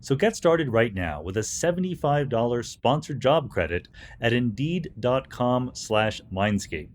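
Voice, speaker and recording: A man with a medium-pitched voice speaks as a podcast host. His delivery is articulate and explanatory, and there is faint noise in the recording. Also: very animated, unhurried. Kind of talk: podcast hosting, interview talk and ad read.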